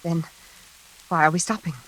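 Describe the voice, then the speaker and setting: A woman playing a nervous, frightened elevator passenger in a classic radio suspense drama, speaking in halting, pleading phrases. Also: fairly low pitch, fairly even intonation, a bit bright, slightly rough. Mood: anxious. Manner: halting, anxious, pleading, repeating herself. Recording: hissy, noisy old radio recording.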